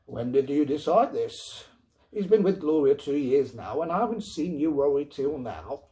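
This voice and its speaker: A man's voice: a little high, lively intonation, moderate pace, dark tone, lightly acted. A man playing a stubborn, dismissive husband and father in a storybook reading, blustering and emphatic. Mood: puzzled.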